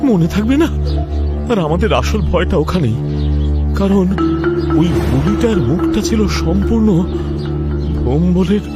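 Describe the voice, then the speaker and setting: A man's voice: high-pitched, lively intonation, a little slow, long pauses. A man voicing a fearful, anxious village man in a cartoon, pleading and jittery. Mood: shaken. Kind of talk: cartoon voice acting. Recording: loud music behind.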